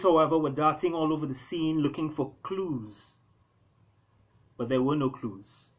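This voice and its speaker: A man reading his own fiction aloud as a storyteller, with theatrical pauses and emphasis. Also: fairly high pitch, fairly bright tone. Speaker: author reading own novella. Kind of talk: storytelling, dramatic scripted narration.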